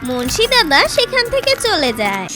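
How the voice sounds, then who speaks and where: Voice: sits high, wildly swooping pitch, normal speed, over loud music. A narrator reading a scripted children's moral story in a childlike storytelling voice.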